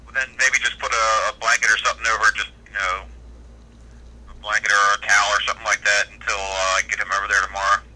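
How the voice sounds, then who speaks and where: A man's voice: on the low side, flat delivery, fast, pushed and bright. An American man giving practical advice over the phone as a service worker, patient, matter-of-fact and plain-spoken. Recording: telephone line audio.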